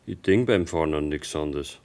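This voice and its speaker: A young bavarian farmer's son in a radio play, deep voice, terse and guarded, gives nothing away. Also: male voice, moderate pace. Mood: guarded, stolid. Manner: terse, evasive, flat answers. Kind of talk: German radio drama acting.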